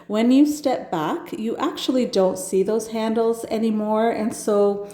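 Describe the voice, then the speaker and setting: A woman's voice: a little high, fairly even intonation, a little slow, mellow, echoey room. A woman with a stuffed-up nose from a cold, chatting enthusiastically as she narrates her home decorating. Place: home kitchen.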